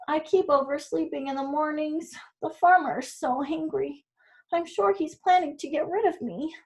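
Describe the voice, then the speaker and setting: A woman's voice: normal speed, darkish tone. Woman doing a fretful old rooster. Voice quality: shrill crowing.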